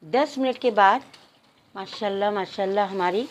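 A woman's voice: medium pitch, normal speed. A woman narrating a cooking tutorial step by step, addressing viewers politely. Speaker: cooking channel host.

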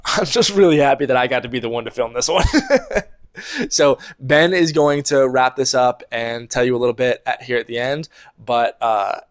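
A young man talks to the camera indoors on a lapel mic, reviewing a meal. He speaks casually with plenty of fillers. His voice sits in a middle pitch and sounds animated and chatty. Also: clear, resonant tone. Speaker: producer giving a food review. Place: indoor room at home.

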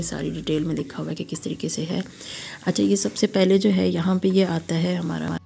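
A hoarse woman from Pakistan with a sore throat, narrating a casual, admiring walking tour for her vlog. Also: on the low side, warm tone. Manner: chatty, admiring, guiding viewers along. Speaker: travel vlogger.

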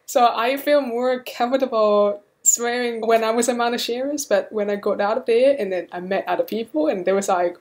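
A woman's voice: a little high, normal speed, few pauses, a little mellow, a little hiss or hum. A woman whose native languages are Mandarin and Cantonese tells a story as a listener, laughing often. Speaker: listener contributor.

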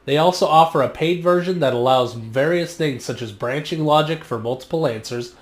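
A man in his thirties, a marketing vlogger, delivering a scripted explainer in a measured, earnest way from a small home studio on a studio microphone. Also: fairly high pitch. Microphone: studio condenser mic on stand, just off frame.

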